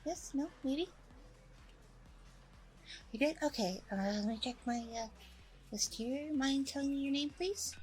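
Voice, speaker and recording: A woman's voice: high voice, unhurried, long pauses, darkish tone, soft background music, poor audio. A woman playing a smug, self-promoting training center owner in a chatty ASMR roleplay, with breezy, self-satisfied customer-service politeness. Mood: welcoming, businesslike. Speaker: roleplay audio performer.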